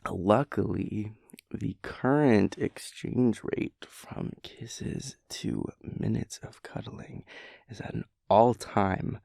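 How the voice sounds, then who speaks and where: A man playing a sleepy, playful boyfriend in an intimate audio roleplay, theatrical and mock-dramatic, with breathy, hushed asides. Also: lowish voice, dry recording, clean studio sound, heavily acted. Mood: playful coaxing.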